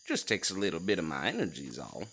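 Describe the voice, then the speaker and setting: Wildly animated folksy frog creature. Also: male voice, deep voice, quick pace, gravelly, slightly rough, exaggerated voice. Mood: eager, folksy. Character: small excitable male frog-like druid, folksy and touchy. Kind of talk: audio drama acting.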